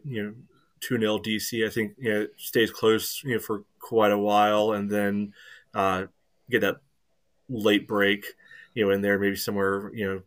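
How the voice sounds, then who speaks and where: A man with a low voice talks through his soccer views in a casual podcast chat. He rambles, leaning on "you know" between nearly every phrase. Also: fairly bright tone.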